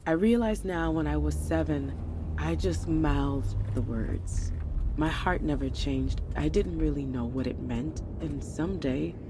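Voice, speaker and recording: Earnest, troubled churchgoing wife. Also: female voice, low voice, unhurried, background noise. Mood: confessional, anguished. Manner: earnest, confessional. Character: earnest, troubled pastor's wife confessing empty faith. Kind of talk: audio drama acting.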